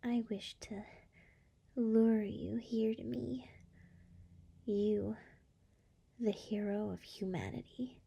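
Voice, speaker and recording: A seductive female demon lord played by a woman speaks in slow, breathy whispers for an ASMR roleplay. Her soft, dark voice has a creaky edge and a teasing, scheming purr, very close to the mic. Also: mid-range voice, steady tone, full character voice. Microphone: close microphone.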